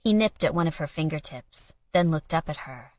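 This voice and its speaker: A woman with a fairly low voice narrates an audiobook story. The recording is very dry and a little dull at the top, with scripted prose read aloud. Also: animated, normal speed, no echo.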